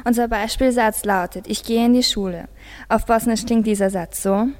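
A woman's voice: on the high side, fairly even intonation, normal speed. A teenage girl, a school student, reading a scripted radio presentation.

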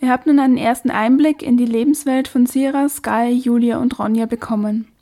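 A woman radio host. Her tone is soft and mellow as she delivers scripted presentation. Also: fairly high pitch, steady tone, a little fast.

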